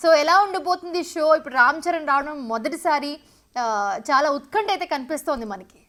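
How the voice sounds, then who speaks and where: A woman's voice: high-pitched, animated, normal speed, fairly bright tone. A woman news anchor, presenting live and putting questions to a reporter.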